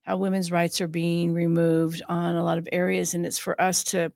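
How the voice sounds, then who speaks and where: A woman hosts a podcast, chatting loosely with many fillers and restarts. Her voice sits low and has a gravelly fry. The recording is close and dry. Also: flat delivery, normal speed, a little mellow, dry recording. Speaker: podcast host and psychic medium. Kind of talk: casual podcast chat.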